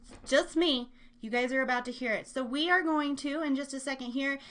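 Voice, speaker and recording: A woman's voice: high voice, fairly even intonation, fairly quick, a bit bright. A woman hosting a casual live online show, chatting in a playful, rambling way and teasing herself.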